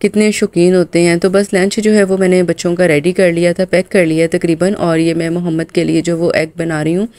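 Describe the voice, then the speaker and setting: A woman in her thirties from Pakistan with a hoarse, sore throat, narrating her daily home vlog in a chatty, loose way full of filler phrases. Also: on the low side, level tone, a little fast, few pauses, darkish tone, dry recording. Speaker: mom vlogger.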